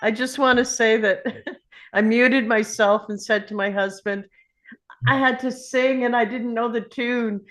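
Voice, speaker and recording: A woman's voice: on the high side, fairly even intonation, measured pace, a bit bright. A woman chatting casually on a group video call.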